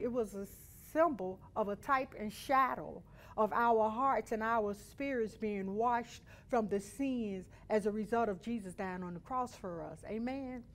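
High-voiced woman teaching a children's Bible lesson. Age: middle-aged. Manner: instructive, emphatic, with check-in tags like "right?" and "okay?". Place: indoor studio or church room.

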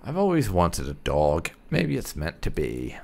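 Man, low creaky voice, unhurried casual game commentary, faint music behind. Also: wildly swooping pitch, slight background noise. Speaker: gaming video host.

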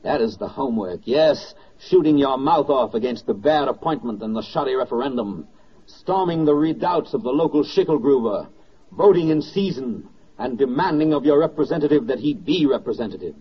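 A solemn 1940s radio narrator with an American accent. The voice is husky and the delivery is grand, emphatic oratory. It comes through a dull vintage recording. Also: male voice, mid-range voice, expressive, normal speed. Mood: urgent, exhorting. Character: solemn, stirring male narrator addressing soldiers and sailors.

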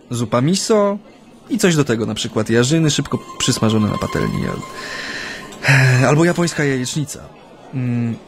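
Genial, reflective Japanese husband. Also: male voice, fairly high pitch, creaky. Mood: nostalgic, reflective. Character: genial Japanese man reminiscing about married life in Poland. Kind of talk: Polish radio drama acting, conversational. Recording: studio radio play with music.

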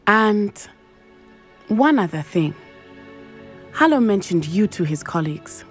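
Teenage schoolgirl witch, earnest, brave, measured formal diction, mid pitch, video game cutscene, music behind. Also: big pitch swings, breathy edge, exaggerated voice. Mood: serious, concerned.

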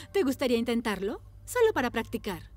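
A woman's voice: on the high side, very animated, moderate pace, darkish tone, faint music behind. A woman voicing a patient, encouraging mother motorcycle who works as an emergency operator in a dubbed children's cartoon. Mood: amused, patient.

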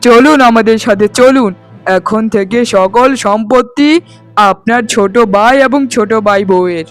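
A man's voice: sits high, moderate pace, clean tone, soft background music, lightly acted. A man voicing a stern, righteous policeman in a cartoon, with a declamatory way of speaking. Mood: stern, accusing. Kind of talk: cartoon storytelling dialogue.

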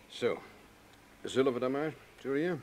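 An actor playing a wry, cool adventurer in a Dutch radio drama. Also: male voice, mid-range voice, expressive, measured pace, a little mellow, a little hiss or hum. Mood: breezy, assured.

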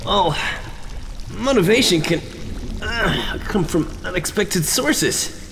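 A man's voice: high-pitched, lively intonation, takes it slowly, fairly bright tone, full character voice. A man voicing a cocky, teasing young hedgehog hero who gets flustered, in an amateur cartoon dub. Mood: wry. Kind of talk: amateur comic dub acting. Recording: long reverb, music behind.

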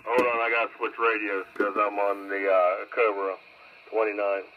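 A man's voice: fairly low pitch, flat delivery, normal speed, phone-quality sound. A man chatting casually over a CB radio, heard through its speaker, blunt and salty in his talk. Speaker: CB radio operator answering over the air. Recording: CB radio transmission with faint noise.

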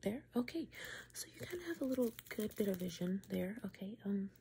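A woman in her twenties doing an ASMR doctor's exam role-play, slipping between soft talk and whispering, close to the mic in a room at home. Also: mid-range voice, animated, fairly quick, creaky, a little hiss or hum. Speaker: ASMR creator playing a doctor. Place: small room at home, indoors.

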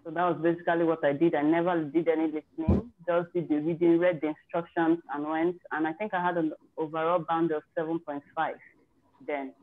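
A woman's voice: low voice, level tone, a little fast. Woman on a zoom call sharing how she prepped, chatty and candid, tinny phone-ish sound. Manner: chatty and candid, with self-corrections. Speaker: participant sharing her exam success story. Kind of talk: casual storytelling on a group video call. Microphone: video call.

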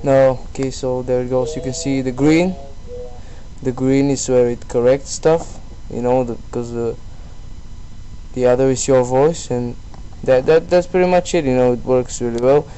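A man presenting a casual screen-recorded software tutorial in a halting, self-deprecating way. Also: medium pitch, rough recording. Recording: steady background noise on voice-over.

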